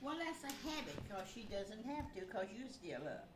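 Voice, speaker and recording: A woman's voice: mid-range voice, very animated, normal speed, roomy sound, noisy background. An older woman tells stories in casual, rambling conversation, trailing off mid-thought. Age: older, grandmother. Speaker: grandmother telling stories. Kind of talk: casual storytelling chat.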